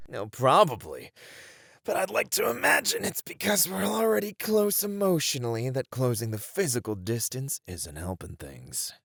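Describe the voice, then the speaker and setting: Playful, unflappable male ninja voiced by a man for ASMR audio drama; animated swings in pitch, gravelly fry, close dry sound. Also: moderate pace, no room sound, heavily acted. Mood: flirty, teasing. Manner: teasing, reassuring, animated.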